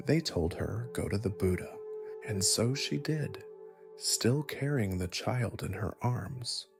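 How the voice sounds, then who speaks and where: A man with a low, creaky voice narrates a meditative bedtime story over music. His delivery is gentle and hushed, very slow, with room left between phrases. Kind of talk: slow scripted meditative storytelling, second person.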